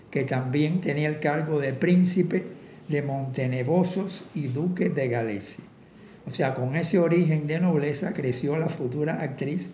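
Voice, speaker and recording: A man's voice: on the high side, mellow, echoey room, a little hiss or hum. An older man, a film critic, reading a prepared lecture at an office desk, with occasional restarts. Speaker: film critic giving a talk. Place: office with a desk and cabinets, indoors.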